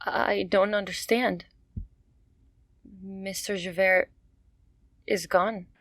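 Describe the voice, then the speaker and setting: A woman's voice: medium pitch, steady tone, slow, a bit bright, slight background noise. An actress playing a poor, frightened young woman in a radio drama, pleading and emotional.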